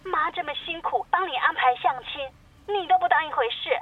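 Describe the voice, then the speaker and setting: A woman's voice: extremely high pitch, brisk. A woman playing a nagging, melodramatic middle-aged mother in a comedy radio drama, heard through a telephone filter. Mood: aggrieved, dramatic. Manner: wheedling, dramatic, chatty. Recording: tinny band-limited phone-call sound.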